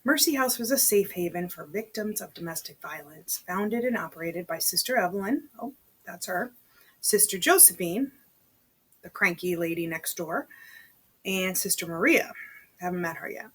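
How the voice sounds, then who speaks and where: A woman's voice: lowish voice, animated, moderate pace, fairly bright tone, slightly rough. A woman reading a story aloud informally, breaking off for conversational asides to her listener. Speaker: amateur read-aloud reader. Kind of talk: reading a novel aloud with chatty personal asides.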